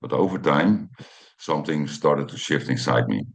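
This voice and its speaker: Very deep-voiced Dutch man in his fifties. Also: moderate pace, slightly rough. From Netherlands. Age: 50s. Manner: hesitant, many ums and uhs, reads from notes. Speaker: channel host. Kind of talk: personal storytelling to camera.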